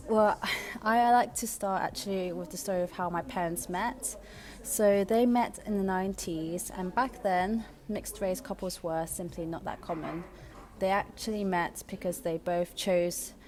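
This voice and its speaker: A young woman, born in Taiwan and raised in England, talking earnestly about her art and faith, with frequent ums and restarts. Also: medium pitch. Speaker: artist.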